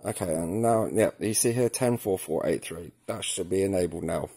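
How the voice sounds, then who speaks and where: A man's voice: low voice, moderate pace, creaky, dark tone, slightly rough. A man narrating a casual step-by-step tutorial, thinking aloud informally as he works with his hands. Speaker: product tutorial presenter. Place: indoors at a work table, filming hands and device.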